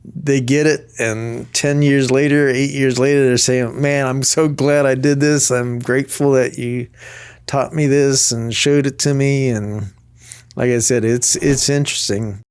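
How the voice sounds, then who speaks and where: A man speaks on a podcast, reflective as he recounts what he has seen over the years. His delivery is very slow and unhurried, and his voice is smooth and resonant. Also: mid-range voice, steady tone, darkish tone. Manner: reflective storytelling. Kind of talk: casual podcast conversation.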